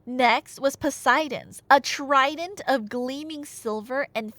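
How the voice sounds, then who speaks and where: A woman's voice: high-pitched, slow, fairly bright tone, dry recording. A woman telling a myth in a casual, chatty but dramatic way, with wry asides, like an ASMR roleplay storyteller. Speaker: storyteller playing a chatty girlfriend.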